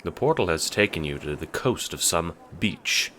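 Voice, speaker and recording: A man's voice: deep voice, animated, moderate pace, sharp tone, a little hiss or hum. A man reading scripted second-person story narration for an audio drama.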